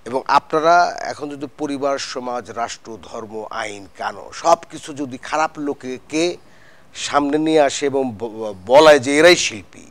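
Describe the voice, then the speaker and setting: A man's voice: mid-range voice, measured pace. Earnest Bangladeshi man arguing a point. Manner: earnest, argumentative. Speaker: actor guest. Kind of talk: opinionated talk show discussion.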